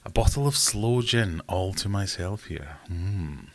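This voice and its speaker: A man's voice: sits low, animated, a little slow. A man hosting a casual livestream from home, rambling and self-deprecating as he reads out chat comments. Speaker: livestream host.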